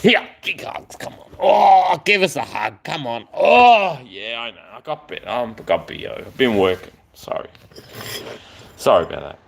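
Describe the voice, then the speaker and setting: A man's voice: mid-range voice, very animated, unhurried, vocal fry. A man, chatting in a rambling, affectionate way on a live stream. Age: 40s.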